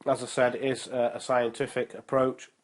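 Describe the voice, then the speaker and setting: Young male fitness vlogger, slightly nasal with a cold, upbeat, medium pitch, lots of fillers. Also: flat delivery. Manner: upbeat, motivational, many filler ums and uhs; slow and careful when reading out numbers. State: nasal from a cold, sore throat. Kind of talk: casual vlog talk and explainer.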